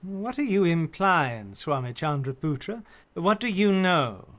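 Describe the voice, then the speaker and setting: A courteous, inquisitive scholar in a radio drama. His pitch is a little high and moves in a lively way, with formal, polite phrasing. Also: measured pace. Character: curious, courteous gentleman scholar probing strange mysteries. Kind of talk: radio play acting.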